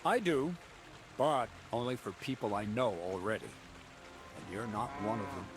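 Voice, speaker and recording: A gruff, mocking miller boss. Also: male voice, on the low side, lively intonation, measured pace, over music. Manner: dry, mocking, bossy. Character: gruff middle-aged male miller boss.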